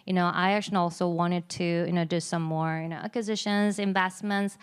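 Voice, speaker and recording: Chatty Korean-accented woman, smooth voice. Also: on the low side, steady tone, fairly quick. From South Korea. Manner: chatty, many "you know" and "like" fillers, self-deprecating laughs. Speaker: game company executive. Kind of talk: panel discussion.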